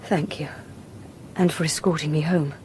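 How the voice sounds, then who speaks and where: Actress as low-voiced, genteel young British lady. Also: fairly even intonation, moderate pace, a little hiss or hum, lightly acted, low-quality recording. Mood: tearful, tender. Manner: polite, earnest, softly emotional. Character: genteel, sensitive young Victorian lady, candid and kind. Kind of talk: radio drama acting.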